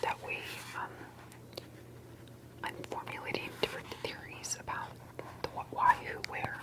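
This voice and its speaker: Hushed, breathy whispering ASMR interviewer. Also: poor audio. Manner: hushed, deliberate, halting questioning. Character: earnest, cautious alien abduction researcher and survivor. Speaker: ASMR performer playing an interviewer.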